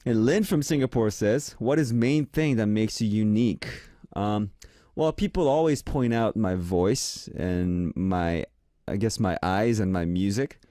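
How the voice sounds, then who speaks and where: A young man from Korea hosts a radio show in English, his second language, in a relaxed and chatty way. His speaking voice is deep and low. The sound is dry and close, with no room echo. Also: measured pace. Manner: relaxed, chatty, reads listener messages aloud. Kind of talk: casual radio show hosting.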